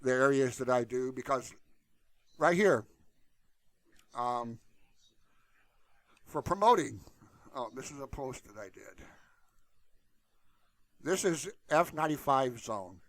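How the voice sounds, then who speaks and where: A man talks through a screen share in a casual, unscripted style. His delivery is blunt and colloquial, and he rambles as he goes. His mid-pitched voice is recorded close and dry. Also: expressive, slow pace, pauses often, fairly bright tone, no room sound. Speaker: tutorial channel host.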